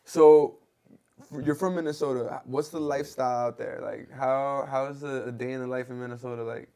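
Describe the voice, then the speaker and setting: A man's voice: medium pitch, fairly even intonation, a little fast, warm tone, clean tone. A man from Venezuela with a Venezuelan Spanish accent, hosting a casual podcast interview in loose slangy talk. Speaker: podcast host.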